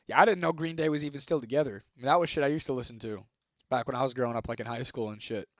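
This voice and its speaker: A young man from Ohio, chatting casually on a live stream, laid-back and swearing freely, his voice a little hoarse. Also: medium pitch, rapid-fire, no echo, studio quality. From Toledo, Ohio, United States. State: voice getting hoarse. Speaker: livestreamer. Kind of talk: casual live-stream chat reading comments.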